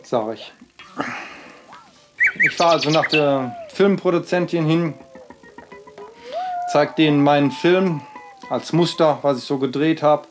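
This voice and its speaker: A man giving a rambling personal monologue, speaking haltingly, often repeating himself and circling back on his phrases. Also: fairly high pitch, over music.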